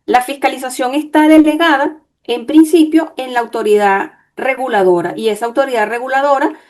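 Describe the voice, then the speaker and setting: A woman lawyer giving an online workshop, explaining in a measured way and checking in with her listeners. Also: sits high, a little mellow.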